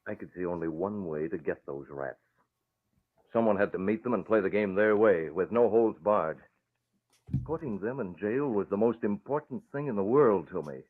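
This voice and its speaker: Man playing a deep-voiced, earnest young crusader. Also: lightly acted. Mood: earnest, resolute. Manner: measured, resolute, dramatic pauses. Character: earnest, determined crusading son, secret vigilante. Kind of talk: old-time radio drama acting. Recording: muffled, band-limited vintage radio recording.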